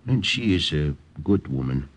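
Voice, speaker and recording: An actor playing a hearty older Dutch uncle with an emphatic, exclamatory delivery in an old-time radio drama. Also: male voice, rumbling low, very animated, normal speed, full character voice. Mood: somber. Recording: vintage radio drama recording.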